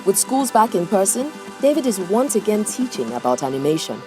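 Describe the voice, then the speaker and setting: A woman's voice: mid-range voice, big pitch swings, fairly quick. A woman reading scripted narration for a news feature. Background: music.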